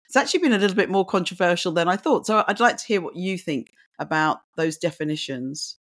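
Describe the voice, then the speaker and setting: Croaky-voiced woman podcast host. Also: lowish voice, expressive, fairly quick. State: hoarse, croaky from lack of sleep. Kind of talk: scripted guest introduction, then interview questions.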